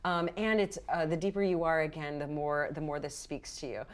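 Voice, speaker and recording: A woman's voice: low-pitched, a little fast. A woman narrating as game master of a roleplaying game, casual and encouraging in an improvised, conversational way.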